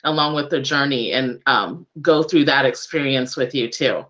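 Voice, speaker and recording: A woman's voice: a bass voice, normal speed, fairly bright tone, lo-fi sound. A woman hosting a casual interview, giggly and agreeable as she talks. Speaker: interviewer, radio host.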